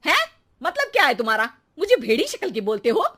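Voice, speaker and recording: A woman plays a vain mother-in-law in a comic family storybook tale. The voice sits high and snaps with haughty indignation. She scolds and huffs, quick to take offence over her looks. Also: huge pitch swings, fairly bright tone, exaggerated voice. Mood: indignant.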